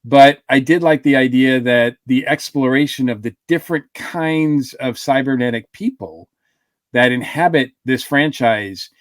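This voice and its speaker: A man's voice: medium pitch, measured pace, no echo. Rambling man on a dry close mic. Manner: rambling, with many fillers and restarts. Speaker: podcast co-host. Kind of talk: casual podcast review chat.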